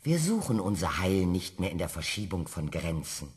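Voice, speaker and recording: A deep-voiced public speaker. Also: male voice, very animated, moderate pace, husky, exaggerated voice. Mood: measured. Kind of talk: radio play acting.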